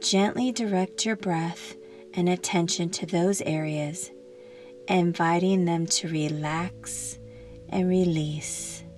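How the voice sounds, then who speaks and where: A woman guides a meditation very slowly, in a fairly low voice. Her delivery is gentle and soothing, with long pauses between short instructions. Soft music plays behind her. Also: steady tone.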